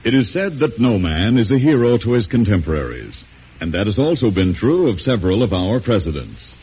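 Husky, animated radio announcer. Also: male voice, on the low side, fairly quick. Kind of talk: scripted mid-century radio announcing. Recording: muffled old radio broadcast.

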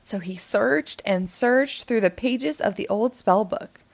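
Woman reading a kids story, slow and lively, highish voice with some creak. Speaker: children's book reader. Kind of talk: animated storybook narration.

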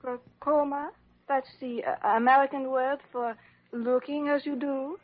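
On a dull old radio recording, a French woman with a fairly high voice. She is earnest and gently critical, pausing for words in accented English. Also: steady tone. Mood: earnest, concerned. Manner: halting, searching for English words. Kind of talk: classic radio drama acting.

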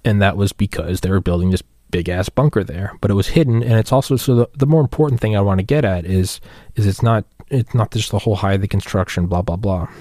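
A man with a low-pitched voice delivers a loose solo podcast rant. He rambles conversationally, peppering it with slang and the odd swear word. The sound is dry, with very little room around the voice. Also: fast, warm tone, no echo. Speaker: podcast host. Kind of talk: casual solo rant monologue.

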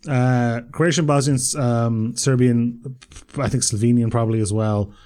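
A man's voice: medium pitch, fairly even intonation, unhurried, dark tone, clean tone. A man with an Irish accent, co-hosting a podcast and telling rambling, self-mocking stories in casual banter. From Ireland. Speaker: podcast co-host.

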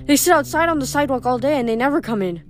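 A woman's voice: high-pitched, expressive, rapid-fire, pitched above the performer's own voice. A woman voicing a frail, addled old mother in a storybook, exclamatory and wandering, with dreamy, doting sweetness. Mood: dreamy, fond.

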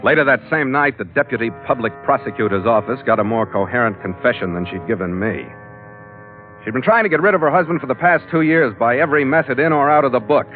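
A man's voice: medium pitch, expressive, fast, music in the background, natural voice. An actor playing a tough, wry sea captain who narrates his own adventures in hard-boiled 1940s radio style. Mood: vivid, storytelling. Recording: narrow old radio broadcast recording.

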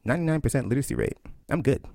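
A man in his thirties, an author answering interview questions in an animated way, laughing often and acting out quotes. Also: medium pitch, rapid-fire, vocal fry, no echo, studio quality. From Canada. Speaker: author and historian.

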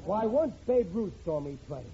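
A man's voice: high-pitched, very animated, moderate pace. An actor playing a boastful, bumbling middle-aged father in an old radio sitcom, blustering and stammering when cornered. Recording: muffled, hissy vintage radio recording.

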